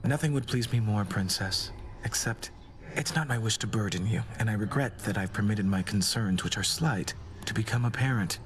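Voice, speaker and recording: A courtly god of doom played by a man speaks in a low, measured voice. He is formal, melancholy and gently devoted, like a suitor in a fantasy game romance. There is some ambient noise behind him. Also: close to an everyday voice. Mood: courteous, reserved. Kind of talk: scripted video game romance dialogue.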